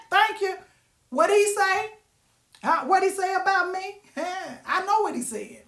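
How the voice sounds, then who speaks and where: Raspy woman giving a folksy pep talk. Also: very high-pitched, animated, a little slow. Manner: preachy, folksy, asks the audience questions. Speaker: live-stream host. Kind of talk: motivational casual talk. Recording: roomy echo.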